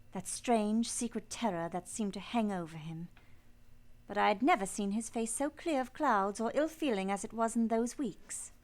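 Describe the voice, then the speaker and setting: A woman's voice: mid-range voice, natural voice. A woman playing an earnest, proud young governess in love, speaking formal literary lines in a radio play over music. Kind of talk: radio play acting with first-person narration. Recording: music behind the voice.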